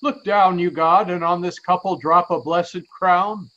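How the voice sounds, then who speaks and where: An actor plays a devout old royal counsellor, garrulous and effusive. His male voice sits high as he declaims Shakespearean verse in a radio play. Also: steady tone, a little slow, pushed and bright, muffled recording. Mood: joyful reverence.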